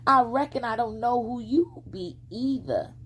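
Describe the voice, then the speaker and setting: A woman's voice: a little high, measured pace, husky, pitched above the performer's own voice. A woman voicing a sassy, fearless little country girl in a storybook, matter-of-fact, speaking folksy rural dialect. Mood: polite, plain.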